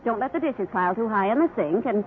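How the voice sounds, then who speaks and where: An actress playing a fond, fussing middle-aged American wife who gently teases in a 1950s radio drama. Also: female voice, on the high side, lively intonation, fast talker, narrow, tinny audio, natural voice. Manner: affectionate, mildly nagging. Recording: band-limited 1950s radio recording with hiss.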